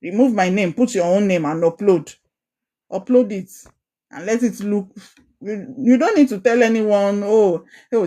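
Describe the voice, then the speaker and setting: Encouraging Nigerian woman coach. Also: medium pitch. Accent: Nigerian, Ijesha Yoruba. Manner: animated, encouraging, repeats phrases for emphasis. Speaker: coach of a women's group. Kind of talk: online course talk.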